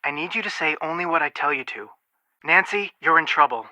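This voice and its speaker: A man's voice: a little high, animated, fairly quick, pushed and bright, dry recording. An actor voicing a level-headed, protective young male amateur detective in a video game, speaking in an earnest, matter-of-fact way with dry wit. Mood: serious, protective. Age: young adult.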